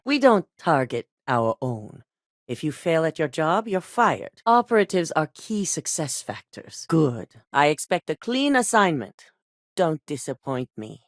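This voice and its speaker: A woman's voice: sits low, no room sound, clean studio sound. An actress voicing a cold, exacting female corporate executive in a video game, curt and authoritative.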